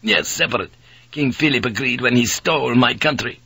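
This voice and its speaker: A man voicing a hostile, hot-tempered priest in his twenties from Portugal, impatient and sharp as he interrogates, in an audiobook. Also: mid-range voice, moderate pace, slightly rough, no room sound. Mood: flaring, indignant.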